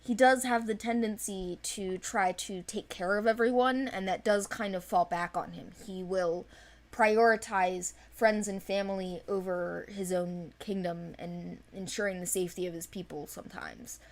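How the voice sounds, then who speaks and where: A woman's voice: medium pitch, normal speed. A woman chatting casually and enthusiastically about characters, rambling in an informal way. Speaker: animation project team member. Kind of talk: casual chat discussing characters.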